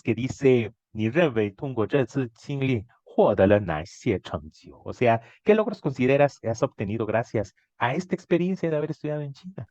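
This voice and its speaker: A man's voice: mid-range voice, a little mellow, no room sound. A man with a Mexican accent hosting an interview in a chatty style, leading the questions. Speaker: host. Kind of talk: casual interview chat. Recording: dull dry lo-fi sound.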